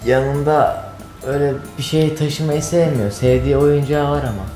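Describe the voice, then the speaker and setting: A man's voice: on the high side, steady tone, normal speed, dark tone. A young man chatting casually and teasingly during a guessing game in a living room. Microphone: camera a short distance away. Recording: echoey room with music behind.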